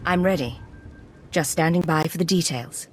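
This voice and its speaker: A woman's voice: low voice, moderate pace, soft background music, lightly acted. An actress playing a composed, direct female Imperial secret agent in a video game. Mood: cool, composed.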